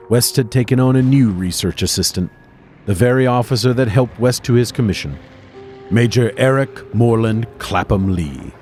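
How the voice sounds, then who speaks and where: An actor playing a haunted, fearful army doctor, narrating a gothic horror audio drama in a grave, formal, dramatic way. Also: male voice, on the low side, darkish tone, close to an everyday voice. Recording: music under the voice.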